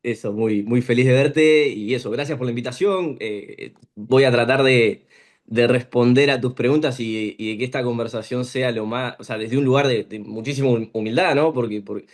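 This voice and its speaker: A young man in his twenties with a Rioplatense Spanish accent, speaking at an ordinary mid pitch. He talks haltingly as a podcast guest, stumbling over repeated words and restarting his phrases. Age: 20s. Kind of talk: casual interview talk.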